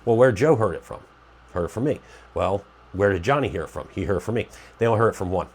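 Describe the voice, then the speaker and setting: A man's voice: lowish voice, expressive, fast, breathy edge. A man with a stuffy, nasal cold voice, hosting his own preparedness channel in a rambling, conversational way and often correcting himself. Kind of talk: casual talk to camera.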